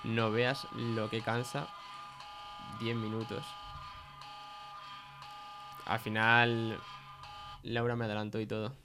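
A man's voice: on the low side, level tone, slow, pauses often, noisy background. An actor playing a rattled, defensive young man recounting a creepy stalker in hesitant, slangy Castilian Spanish. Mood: rattled, insistent. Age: young adult. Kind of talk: conversational interview in an audio fiction podcast.

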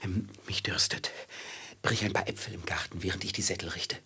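An actor playing an uneasy, ashamed merchant on the run, in a hesitant, dramatic radio play manner. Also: male voice, sits low, steady tone, brisk, creaky, pushed and bright, whispered, exaggerated voice. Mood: furtive, hesitant.

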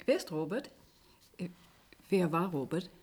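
An actress playing a calm, earnest, long-lived woman inventor in a German radio play. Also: lowish voice, unhurried, slightly rough, exaggerated voice.

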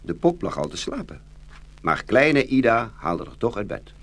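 Very animated, husky male storyteller. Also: lowish voice, normal speed. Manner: dramatic, expressive storytelling. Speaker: storyteller, narrator. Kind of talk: scripted children's fairy-tale narration.

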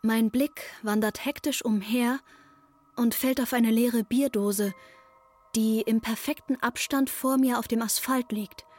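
A woman's voice: a little high, steady tone, measured pace, darkish tone. An actress playing an intense, troubled young woman who narrates her breakdown in a wry, matter-of-fact way in a radio play.

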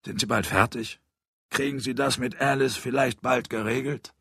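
A man voicing a calm, stern man in an audiobook, putting quiet, measured, probing questions. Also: medium pitch, creaky, slightly rough, no room sound, studio quality. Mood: curt. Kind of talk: audiobook character voice.

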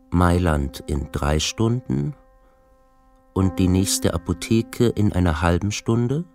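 A male narrator reads scripted literary prose for a radio play. His voice is very deep, and he takes his time, stating things plainly over faint music. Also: a little mellow.

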